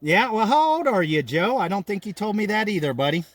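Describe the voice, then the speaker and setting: A man in his fifties with an American accent and a fairly high voice. He talks in a chatty, folksy way, like a ham radio operator trading friendly small talk over the air. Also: expressive, a little fast, a little hiss or hum. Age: 50s. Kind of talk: casual ham radio conversation. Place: outdoors on a mountain trail.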